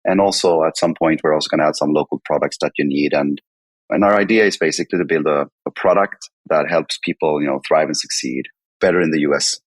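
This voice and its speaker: Very deep, husky Swedish man. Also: fast talker, dry recording. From northern Sweden. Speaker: podcast guest, company chief executive. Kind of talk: conversational interview answers.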